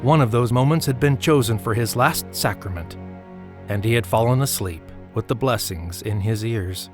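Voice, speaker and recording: Guy narrating an old fashioned storybook, mid pitched voice, measured, bit of music underneath. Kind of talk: scripted storybook narration for audio drama.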